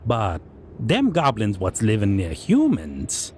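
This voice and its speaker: A man's voice: fairly low pitch, wildly swooping pitch, takes it slowly, a little mellow, slight background noise, full character voice. A man voicing a proud, amused, menacing goblin king who speaks in broken grammar and musing hums. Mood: mocking. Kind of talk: roleplay audio monologue.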